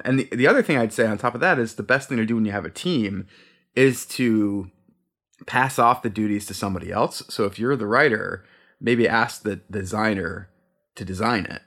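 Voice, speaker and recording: A man's voice: on the low side, brisk, fairly bright tone. A man co-hosting a casual podcast chat, talking about sound design with enthusiasm and easy laughter. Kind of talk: casual conversation.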